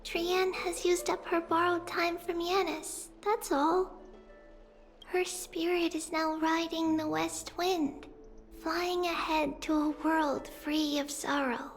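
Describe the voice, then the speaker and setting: A woman playing a serene, childlike little girl in a video game, gently consoling. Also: very high voice, little pitch movement, takes it slowly, faint music behind, exaggerated voice. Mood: tender, serene. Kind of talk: English video game voice acting.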